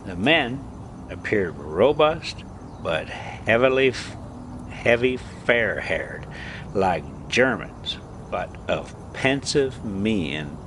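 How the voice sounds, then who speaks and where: A man reading a story aloud as an amateur host, halting and stumbling over words now and then, with casual delivery. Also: lowish voice, sing-song, background noise. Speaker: host reading stories aloud for a pet video channel.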